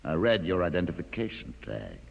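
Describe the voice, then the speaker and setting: An actor playing a calm, educated mutant leader, courteous but menacing, with measured formal speech in a 1950s radio drama. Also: male voice, low-pitched, gravelly, breathy edge, full character voice. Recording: muffled vintage radio, long reverb.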